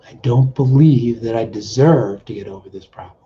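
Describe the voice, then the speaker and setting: A man with a very dark, mellow voice of medium pitch guides a self-help exercise as a coach. He speaks in short, deliberate phrases, often repeated, with pauses between them. The recording is dull and lo-fi. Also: a little hiss or hum. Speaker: coach leading a tapping exercise. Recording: dull, muffled lo-fi audio.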